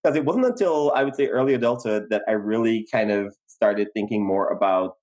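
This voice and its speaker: Podcast co-host, young Guyanese man, lowish voice, lively storytelling. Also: brisk. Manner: animated, laughs easily, emphatic pitch jumps. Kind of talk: casual conversational storytelling.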